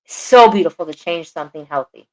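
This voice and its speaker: A woman's voice: lowish voice, animated, brisk, low-quality recording. A woman co-hosting a podcast, talking earnestly and repeating phrases for emphasis. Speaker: podcast co-host. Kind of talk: casual podcast chat.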